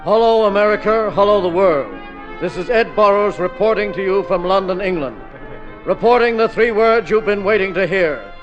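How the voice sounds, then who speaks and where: An actor playing a grandiose, declamatory American news correspondent reporting dramatically in a radio comedy. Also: male voice, sits high, natural voice. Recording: dull, band-limited radio sound, music.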